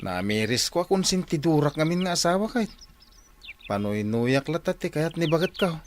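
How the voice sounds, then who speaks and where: Mild, hesitant Filipino husband. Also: male voice, on the high side, very animated, moderate pace, slight background noise. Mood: conciliatory. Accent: Ilocano. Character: mild, conciliatory husband plotting to reconcile wives. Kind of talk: Philippine radio drama acting.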